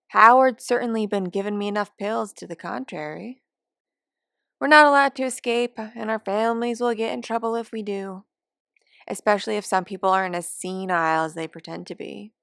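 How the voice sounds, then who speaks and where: A woman's voice: mid-range voice, gravelly, fairly bright tone, no room sound. Cranky, rambling old woman, acted by a woman, dry sound. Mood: suspicious, indignant. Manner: complaining, rambling, wry. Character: cranky forgetful elderly woman in a care home. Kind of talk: first-person dramatic monologue of short fiction.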